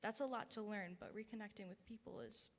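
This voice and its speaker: A soft-spoken young woman speaking to the congregation into a handheld mic. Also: medium pitch, steady tone, a little fast, gravelly. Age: young adult. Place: large synagogue hall. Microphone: handheld microphone. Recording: long hall reverb.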